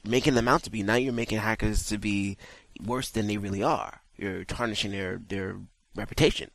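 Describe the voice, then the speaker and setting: A man's voice: on the low side, a bit bright, no echo, rough recording. A man co-hosting a casual gaming podcast, laid-back and hesitant, with plenty of uhs and casual swearing. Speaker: podcast co-host.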